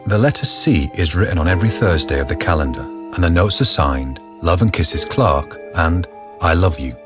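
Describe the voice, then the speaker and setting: A man narrating a radio drama as a measured, serious storyteller. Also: sits low, lively intonation. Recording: radio narration over clear music.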